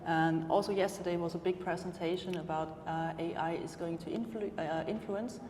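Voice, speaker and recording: A woman's voice: lowish voice, fairly even intonation, brisk, darkish tone. A woman from Austria interviewing a guest, hesitant, with many fillers. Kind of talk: conference interview. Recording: roomy hall with ambient noise.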